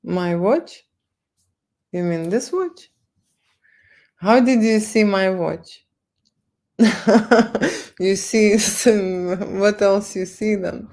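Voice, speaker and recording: Slow, gravelly Lithuanian-accented woman. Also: medium pitch, darkish tone. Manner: halting, repeats words, tag questions like okay and right. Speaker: photo studio owner livestreaming. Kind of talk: casual livestream talk to viewers.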